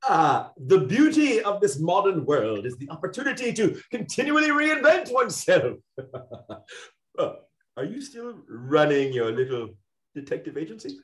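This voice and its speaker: An actor playing a slippery, grandiose gentleman crook and failed film director in a 1920s comedy radio play. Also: a little high, big pitch swings, moderate pace. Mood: smug. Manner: grandiose, theatrical. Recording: roomy, echoing sound.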